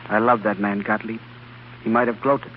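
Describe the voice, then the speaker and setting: An actor playing an earnest, compassionate research doctor in an old-time radio drama. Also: male voice, lowish voice, fairly even intonation, normal speed, lightly acted. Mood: dejected. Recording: dull, hissy old radio broadcast.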